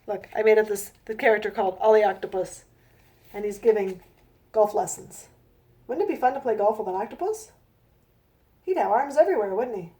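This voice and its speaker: A woman author reading her children's book aloud in a gentle sing-song manner, with chatty asides to young listeners. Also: mid-range voice, brisk, long pauses.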